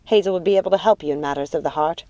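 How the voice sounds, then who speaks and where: A woman's voice: low voice, rapid-fire, mellow, dry recording, natural voice. Woman acting a cruel, imperious witch mother. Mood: cold, possessive. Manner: scornful, commanding, theatrical delivery. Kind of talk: audiobook character acting.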